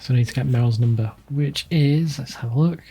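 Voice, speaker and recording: A man's voice: mid-range voice, fairly quick, dark tone, slight background noise. A man giving casual commentary as he plays a video game. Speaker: let's play host. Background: game music, keyboard typing.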